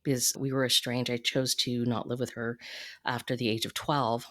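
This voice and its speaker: A woman from the United States speaking as a podcast guest, telling her personal story in a candid, measured and thoughtful way. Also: rumbling low, flat delivery, fairly bright tone. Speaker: podcast guest, financial coach. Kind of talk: reflective personal storytelling in an interview.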